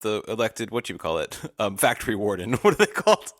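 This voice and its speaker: Lowish guy voice on a podcast, really dry sound, chatting about a movie with wry little asides. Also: sing-song, a little fast, fairly bright tone, no room sound. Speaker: podcast co-host. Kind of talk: casual film discussion.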